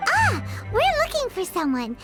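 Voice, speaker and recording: A woman voicing a tiny childlike fairy companion in a video game, excitable, blunt and expressive. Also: unhurried, full character voice. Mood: bemused. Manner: squeaky, exclamatory, expressive. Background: prominent music.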